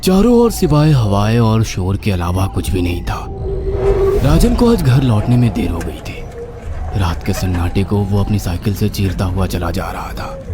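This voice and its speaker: A man narrating a scripted horror story in a dramatic style. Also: on the low side.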